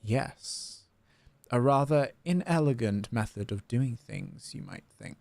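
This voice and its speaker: Fantasy game villain, man reading: haughty, scornful, formal, slow and creaky. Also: mid-range voice, sing-song, darkish tone, exaggerated voice. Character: aloof, scornful male villain seeking liberation.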